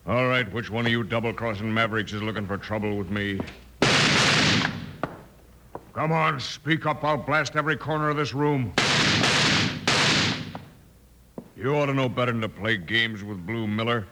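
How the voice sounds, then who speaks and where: An actor playing a hot-tempered American outlaw gang boss in an old-time radio western, gruff, bossy and threatening. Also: male voice, medium pitch, fairly quick, hardly any pauses, natural voice. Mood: menacing. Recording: very noisy, clicking old radio recording.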